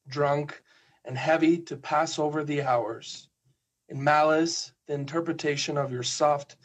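A man from Spain with a Spanish accent, a guest poet who chats hesitantly and reads his poems slowly and softly. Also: fairly high pitch. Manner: hesitant, soft-spoken, slow measured reading. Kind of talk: casual chat and poetry reading.

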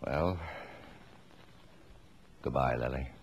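An actor playing a brisk, jovial middle-aged father in 1950s radio drama, fond and playfully commanding. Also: rumbling low, full character voice. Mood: fond, firm. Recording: noisy vintage 1950s radio broadcast.

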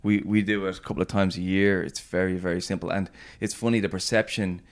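Deep-voiced Irish man, easygoing. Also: fairly even intonation, fast. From Ireland. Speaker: event organizer, guest. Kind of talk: casual interview chat.

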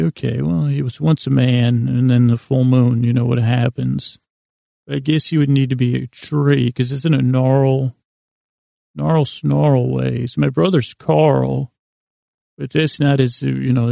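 Gravelly, rambling male bedtime podcast host. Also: medium pitch, dark tone, no echo, clean studio sound. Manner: meandering, halting, repeats and plays with words; self-deprecating, silly.

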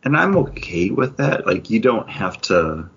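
This is a man in a casual podcast conversation as co-host. His voice is deep with a rough, husky grain. The audio is somewhat dull and lo-fi. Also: big pitch swings, measured pace, a bit bright. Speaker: podcast co-host reviewing comics. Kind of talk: casual chat.

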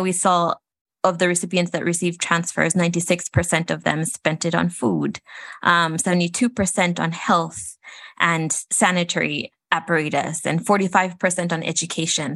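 A woman from Malawi speaks as an interview guest, earnest and precise as she lists figures. Her voice sits on the low side, and the sound is close and dry. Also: a bit bright, no room sound. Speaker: guest from an aid organisation. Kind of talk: interview answers.

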